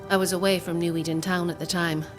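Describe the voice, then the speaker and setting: Game role, actress: resolute female conspirator, lower register, formal and measured, strong music bed. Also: little pitch movement, close to an everyday voice. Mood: serious, guarded. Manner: formal, measured, deliberate phrasing. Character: composed, resolute woman plotting against a captain. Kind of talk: video game cutscene acting. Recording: prominent music underneath.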